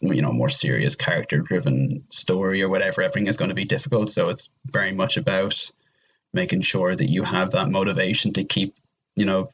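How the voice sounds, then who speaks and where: A man chatting casually in a rambling, halting way, often repeating himself as he works out his point. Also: sits low, fairly even intonation, a bit bright, rough recording. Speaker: podcast guest. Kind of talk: casual chat.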